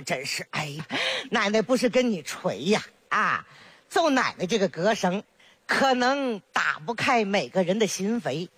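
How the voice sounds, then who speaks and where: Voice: mid-range voice, a bit bright, husky, dry recording. A performer playing a chatty, doting old village grandmother with a Northeastern Chinese accent in a solo comedy sketch, full of exclamations and jokes. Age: older. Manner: sing-song, full of exclamations, teasing and jokey.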